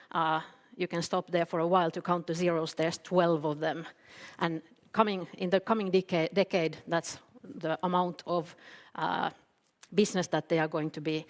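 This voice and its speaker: Finnish woman speaking in a reverberant hall. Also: sits low. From Finland. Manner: hesitant, many fillers and restarts. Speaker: keynote speaker. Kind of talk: conference talk. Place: event hall.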